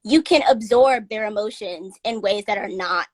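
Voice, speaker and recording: A woman's voice: medium pitch, moderate pace, no room sound, low-quality recording. A woman from North Carolina with a light Southern accent, talking earnestly and reflectively as a guest on a casual live stream. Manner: earnest, reflective, laughs easily. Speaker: guest, content distributor.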